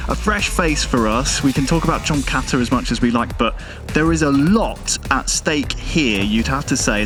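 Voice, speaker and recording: Male esports commentator, mid-range voice, live match calls building to excitement, music playing behind him. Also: mid-range voice. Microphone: headset.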